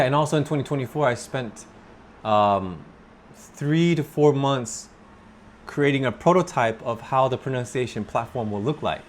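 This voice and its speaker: A man, from Korea, talking slowly and thoughtfully about his work as an English teacher in a personal vlog. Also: mid-range voice, a little hiss or hum. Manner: slow, thoughtful, with pauses and fillers.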